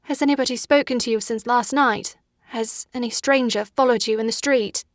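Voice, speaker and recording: A woman acting a fragile young Victorian sweetheart full of stubborn foreboding. The voice sits high and sounds fretful and intense, in a theatrical audiobook drama style with a dry, close recording. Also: steady tone, moderate pace, no room sound, natural voice. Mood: anxious.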